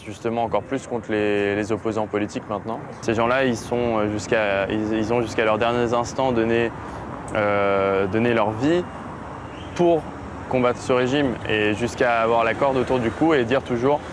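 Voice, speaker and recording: A man, talking earnestly and haltingly in an interview, with many fillers and restarts. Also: medium pitch, fairly even intonation, noisy background. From France. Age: 20s. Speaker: activist. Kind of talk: interview and conversation.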